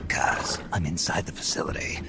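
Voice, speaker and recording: An actor playing a gruff veteran soldier in a stealth video game, gravelly and often whispering, muttering tersely to himself. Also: male voice, normal speed, very noisy, lightly acted. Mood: hushed, wary. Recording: echoey, loud music behind.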